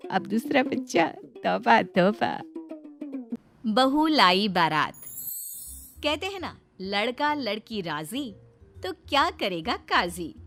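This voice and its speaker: A woman narrating scripted comic family stories as a storyteller. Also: on the high side.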